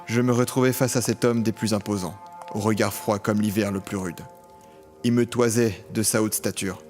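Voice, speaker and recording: An actor playing an eager, devoted young criminal apprentice in an audio drama. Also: male voice, fairly low pitch, steady tone, moderate pace, a little mellow, lightly acted. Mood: brooding narration. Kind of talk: audio drama acting and first-person narration. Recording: music under the voice.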